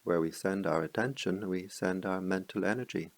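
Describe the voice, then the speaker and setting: A middle-aged man, a meditation teacher, guiding a slow, calm meditation in a softly spoken voice with long pauses. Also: no room sound. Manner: chants Pali verses in a low murmur. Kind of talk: guided meditation.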